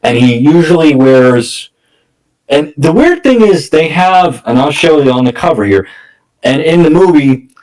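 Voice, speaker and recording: A man's voice: on the high side, sing-song, unhurried, a little mellow, low-quality recording. A man co-hosting a podcast in casual film chat, speaking hesitantly and often correcting himself as he goes. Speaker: podcast co-host.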